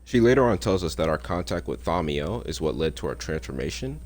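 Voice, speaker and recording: A man's voice: low voice, sing-song, brisk, darkish tone. A young man casually recapping a game's story, with slangy, joking asides. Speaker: gaming content creator. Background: faint game music.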